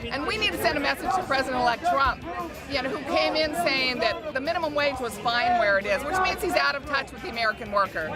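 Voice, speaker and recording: A woman's voice: sits high, animated, fast. A woman speaking earnestly and with determination at a rally. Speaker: rally participant. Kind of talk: rally interview. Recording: loud crowd and music at a rally.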